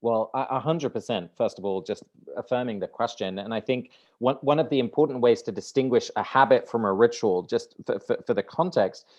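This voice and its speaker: A man's voice: mid-range voice, fairly quick, few pauses, a little mellow, muffled recording. Dutch man musing with many restarts. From Netherlands. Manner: thoughtful, many restarts and repeated words. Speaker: guest author. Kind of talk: conversational interview.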